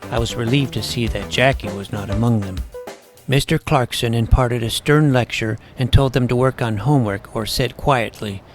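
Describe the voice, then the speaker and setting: A man narrating a first-person audiobook in a wry, deadpan way. His voice sits in a middle register, and faint music plays underneath. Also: mid-range voice, moderate pace.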